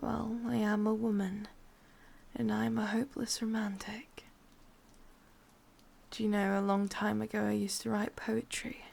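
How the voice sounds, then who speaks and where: Woman acting a breathy, whispering English yandere witch. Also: medium pitch, level tone, a little mellow. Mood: wistful. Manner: slow, intimate, menacingly tender. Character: sadistic, possessive yandere witch, a lovesick hopeless romantic. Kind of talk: whispered ASMR roleplay.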